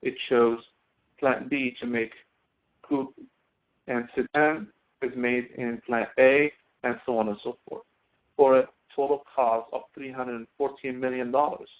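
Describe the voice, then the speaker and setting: A man's voice: mid-range voice, steady tone. Phone-like male instructor, step by step. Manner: halting, step-by-step explaining. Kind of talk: live online software lecture with screen demonstration. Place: online screen-share session. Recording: thin phone-like audio.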